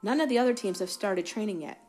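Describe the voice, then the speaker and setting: A woman voicing a burly teenage sports captain who talks in a brisk, hearty, keen way. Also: medium pitch, darkish tone, soft background music, natural voice.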